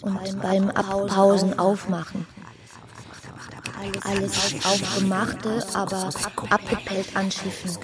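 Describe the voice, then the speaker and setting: An actress playing an insistent reciter of nonsense word chains in an experimental radio play, enunciating each piece emphatically. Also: female voice, mid-range voice, level tone, a crowd in the background, lightly acted. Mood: deliberate, insistent. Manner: slow, segmented, emphatic enunciation.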